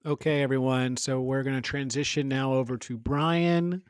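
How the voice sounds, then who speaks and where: A man's voice: mid-range voice, fairly even intonation, normal speed, clean tone, no echo. A man co-hosting a casual podcast, dry and playful, playing along with the jokes. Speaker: podcast co-host. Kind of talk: casual chat.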